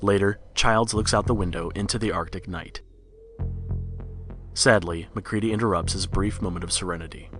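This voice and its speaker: A man's voice: low-pitched, steady tone, normal speed, faint music behind. A man narrating a scripted horror story in the style of a read-along storybook record.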